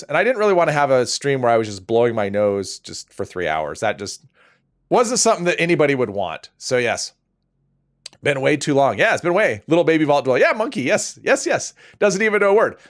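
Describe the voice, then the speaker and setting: Lively, sniffly man chatting on stream. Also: on the high side. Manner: chatty, reads out viewer names, laughs easily. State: a little sniffly. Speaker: game streamer. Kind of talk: casual livestream chat.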